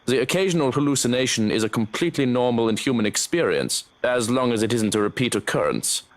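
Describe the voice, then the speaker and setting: A man voicing a composed, dutiful security man in a horror visual novel, speaking in a measured, formal way. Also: medium pitch, animated, lightly acted. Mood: measured, tactful. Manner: measured, formal, matter-of-fact.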